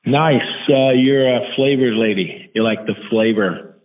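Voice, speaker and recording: A man hosting a casual radio interview, asking questions in a laid-back, halting way. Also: medium pitch. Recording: thin phone-like audio.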